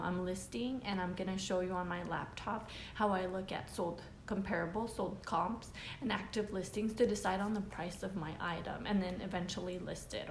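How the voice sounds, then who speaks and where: A woman's voice: medium pitch, a little hiss or hum. A young woman with a Spanish accent, chatting as she explains her eBay reselling steps and checks in with "okay?". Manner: chatty, often adds "you know" and "okay?". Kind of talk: casual how-to vlog. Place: living room with a couch, indoors.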